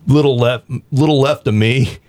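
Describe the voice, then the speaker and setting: A man from the American South hosting a talk show, speaking bluntly and provocatively with halting restarts. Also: medium pitch, darkish tone, no room sound. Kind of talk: opinionated radio talk.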